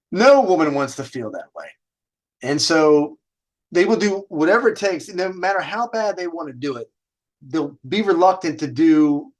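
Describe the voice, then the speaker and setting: A man hosting a dating advice show, telling stories casually in a brash, emphatic way, drawing out stressed words and throwing in rhetorical questions. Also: fairly high pitch. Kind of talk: casual storytelling monologue with advice to viewers.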